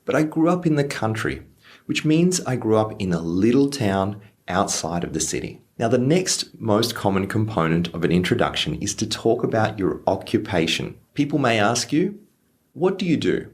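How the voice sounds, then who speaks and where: Man, deep voice, lively tone, teaching english, slow clear examples. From Australia. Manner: lively, stresses example phrases slowly. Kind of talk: clear teaching explanation for learners.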